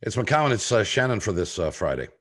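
An older man hosting a sports podcast from a home room, giving opinionated talk in a deliberate, halting way with pointed pauses. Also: lowish voice, very animated, over loud music. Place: home room on a video call.

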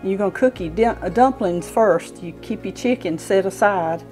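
A woman's voice: mid-range voice, normal speed, mellow, soft background music. A woman in her sixties with a Southern US accent, giving an informal, folksy cooking demonstration as she works in a home kitchen. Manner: folksy, explaining step by step while working. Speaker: home cooking show host. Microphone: clip-on lapel mic.

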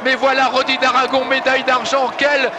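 A man giving live TV commentary on a ski race, excited and shouting over the crowd. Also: very high-pitched, fairly quick. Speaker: lead sports commentator. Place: commentary booth at a ski stadium. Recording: live broadcast with loud crowd noise. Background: loud cheering crowd.

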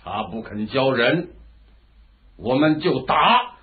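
An actor playing a vengeful, imperious feudal lord of ancient China in a commanding, theatrical radio drama style. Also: male voice, medium pitch, animated, slow. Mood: scornful, goading. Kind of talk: Chinese historical radio drama acting. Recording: muffled, phone-like lo-fi radio drama audio.

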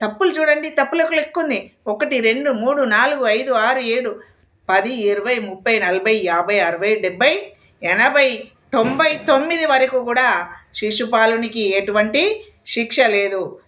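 A woman's voice: fairly high pitch, moderate pace, a bit bright. A woman storyteller telling a moral tale expressively, speaking directly to her listeners.

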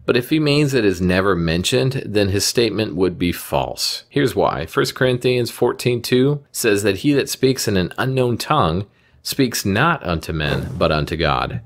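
A man's voice: fairly low pitch, sing-song, normal speed. A man hosting a commentary video, speaking in a careful, methodical way and reading scripture aloud.

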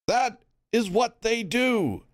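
Male reader doing a young hero, high and urgent, pleading with a crowd. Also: expressive, slow, no room sound, studio quality, heavily acted.

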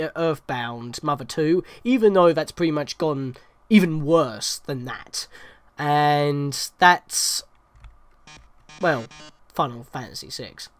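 A man's voice: a little high, a bit bright, no echo. A young man hosting a let's play with casual, wry, conversational game commentary.

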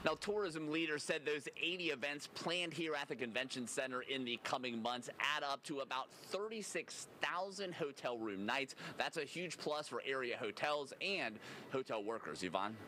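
A middle-aged man reporting live on the news from a large convention hall, speaking into a handheld mic in a steady broadcast manner. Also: mid-range voice, normal speed, slight background noise. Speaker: field reporter.

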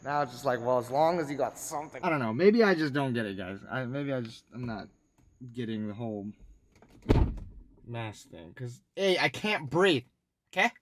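A man's voice: mid-range voice, lively intonation, moderate pace, roomy sound, slight background noise. A young adult man with an American accent chatting casually to the camera in slangy, informal vlog style. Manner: slangy, informal, often saying bro and man. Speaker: video blogger. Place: indoors, in an apartment, car and parking garage.

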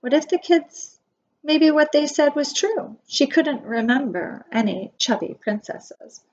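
High, lilting woman reading a storybook. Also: lively intonation, measured pace. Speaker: preschool teacher. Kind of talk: children's picture book read-aloud. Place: small wood-panelled room indoors. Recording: dull webcam audio with faint noise.

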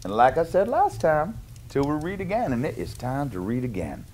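A man's voice: a little high, huge pitch swings, moderate pace, a little mellow, a little hiss or hum. A middle-aged man playing a jolly Canadian lumberjack, reading a picture book to children with playful, exaggerated sing-song rhythms and chuckly asides. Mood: jolly, inviting. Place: small indoor cabin-style set.

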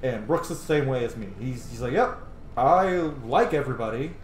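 A man's voice: medium pitch, animated, fairly quick, slight background noise. Man commentating on a game in a roomy space. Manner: emphatic, swears freely. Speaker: game streamer. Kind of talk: casual gameplay commentary. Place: small indoor room at home. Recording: roomy sound with music behind. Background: game music.

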